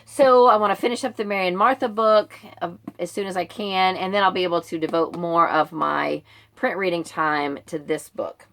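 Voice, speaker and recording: A woman's voice: fairly low pitch, normal speed, a bit bright. A middle-aged American woman giving a casual, conversational reading update to viewers in an informal, rambling way. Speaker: book vlogger and book club member.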